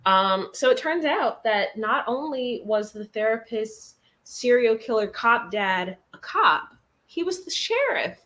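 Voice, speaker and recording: A woman's voice: fairly high pitch, big pitch swings, unhurried, fairly bright tone. A woman in a casual chat about books, speaking informally with slang and some swearing. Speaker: guest on a book reading livestream.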